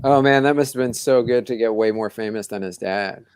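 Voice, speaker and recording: A man chatting casually among friends, lively and quick to laugh. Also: mid-range voice, smooth, dry recording, soft background music. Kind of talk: casual comedic chat.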